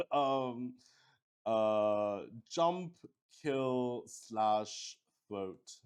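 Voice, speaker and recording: A man thinking aloud as he plays and explains a solo roleplaying game on stream, halting and often searching for words. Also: mid-range voice, expressive, clear, resonant tone.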